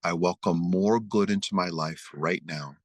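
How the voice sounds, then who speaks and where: A man leading a guided meditation and prayer, speaking slowly and soothingly as he leads spoken affirmations. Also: sits low, dry recording. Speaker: spiritual meditation leader.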